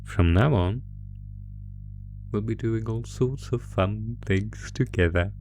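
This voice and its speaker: A man's voice: lowish voice, slow, creaky, darkish tone, a little hiss or hum. A man voicing an ancient, possessive monster captor in a horror roleplay, gloating with menacing, mock-tender teasing. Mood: sinister delight. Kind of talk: horror roleplay audio acting.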